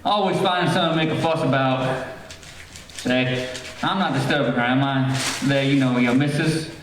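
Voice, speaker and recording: A man's voice: mid-range voice, moderate pace, a little hiss or hum, lightly acted. A man playing an affable, nosy, rambling police sergeant in an old-time radio play. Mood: chatty, probing. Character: affable, talkative off-duty police sergeant neighbor. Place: theatre stage. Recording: echoey theatre, distant mic.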